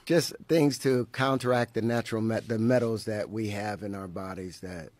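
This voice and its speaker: A man in his fifties as a podcast guest, talking haltingly with many restarts and frequent laughs. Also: on the low side, steady tone, darkish tone, dry recording. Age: 50s. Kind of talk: interview conversation. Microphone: podcast mic with headphones.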